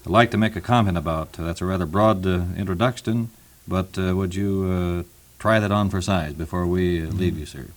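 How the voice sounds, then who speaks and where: A man's voice: sits low, moderate pace. A man with an American accent, hosting a formal radio roundtable in a courteous manner. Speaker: radio host, moderator. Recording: 1950s archival radio recording.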